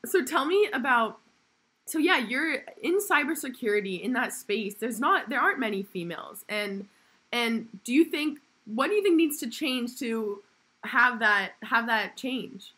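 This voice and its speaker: A woman's voice: on the high side, lively intonation, measured pace, pushed and bright, husky. A woman hosting a podcast interview, asking long questions and affirming her guest often. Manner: affirming, frequent backchannels. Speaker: podcast host. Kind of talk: conversational interview.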